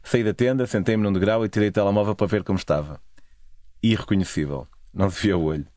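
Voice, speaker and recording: A man's voice: lowish voice, fast, darkish tone, no echo, studio quality. A man with a European Portuguese accent narrating a scripted, literary first-person travel story. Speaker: narrator of own travel stories.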